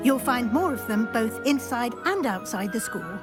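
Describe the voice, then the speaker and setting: An actress playing a composed witch professor in a video game, giving instruction with brisk authority. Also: a little high, animated, music in the background, natural voice. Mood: instructive. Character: brisk, composed female professor at wizard school. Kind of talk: video game dramatic acting.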